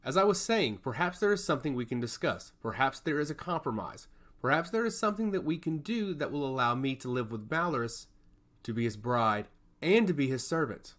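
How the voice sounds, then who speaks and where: A conciliatory young-hearted mortal woman, read by a man, pleads politely with varied intonation. She is measured and diplomatic in a fantasy tale. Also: mid-range voice, lively intonation, fairly bright tone, lightly acted. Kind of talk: fantasy audio story dialogue.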